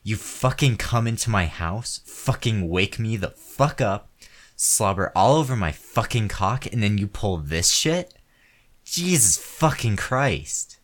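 A man voicing a crude, hot-tempered man in an audio roleplay, with a low, heavy voice and slow, deliberate delivery. He snarls through nearly every line with constant cursing, and his bluster can give way to frightened pleading. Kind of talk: one-sided audio roleplay.

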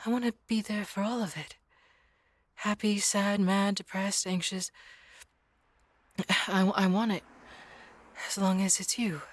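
A woman's voice: mid-range voice, fairly even intonation, unhurried, pauses often, no echo, lightly acted. A woman voicing a clingy, obsessive young woman in love with her coworker, stammering and often dropping to a whisper. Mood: adoring. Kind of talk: audio roleplay acting.

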